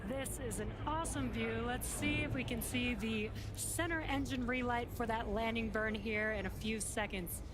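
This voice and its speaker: Female webcast host, upbeat explainer, pitched a little high, over a prominent music bed. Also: normal speed, hardly any pauses. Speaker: launch webcast commentator. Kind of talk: live spaceflight commentary.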